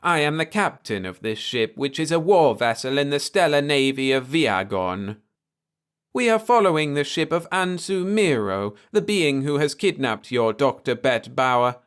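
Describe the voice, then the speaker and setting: A man voicing a courteous reptilian alien starship captain, speaking softly and formally with a slightly slurred, measured delivery. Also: medium pitch, sing-song, smooth, voice pushed up. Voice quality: soft, slurred voice.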